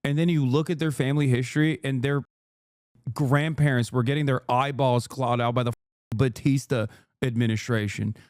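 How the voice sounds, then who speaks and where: A man streaming casual reaction commentary, profane, animated and rambling. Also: medium pitch, moderate pace, clear, resonant tone, no room sound, clean studio sound.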